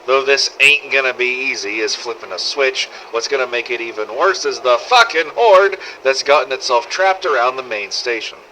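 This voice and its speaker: Guy doing a sweary world-weary zombie survivor logging his diary, sharp forceful voice, old tape sound with a bit of noise. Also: medium pitch, expressive, moderate pace, hardly any pauses, low-quality recording. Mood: wry, irritated. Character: gruff, foul-mouthed zombie-apocalypse survivor, wry and cynical. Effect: old cassette recorder filter. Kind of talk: scripted in-character audio diary narration.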